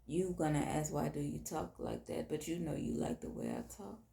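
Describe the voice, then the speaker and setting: Female late-night host, Southern US accent, hoarse and fry-heavy, expressive swings in pitch, intimate solo delivery. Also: moderate pace, reverberant. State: hoarse, tired voice. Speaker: podcast host.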